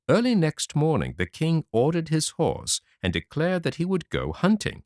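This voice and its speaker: A man narrating a fairy tale audiobook in a dramatic, expressive reading. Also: medium pitch, no room sound. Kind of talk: scripted storybook narration. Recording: dry close audiobook mic.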